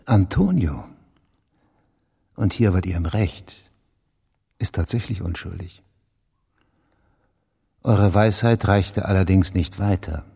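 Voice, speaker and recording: Casting: condescending official, very low, dark and husky, evasive and measured, radio play in a reverberant hall. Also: male voice, animated, heavily acted. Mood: triumphant. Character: smug, guarded male official at the magistrate's office. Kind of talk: German radio drama acting.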